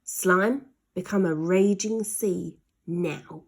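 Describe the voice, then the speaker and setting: A woman voicing a plucky, quick-thinking young boy in a children's storybook, with urgent, exclaiming delivery. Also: lowish voice, gravelly, full character voice.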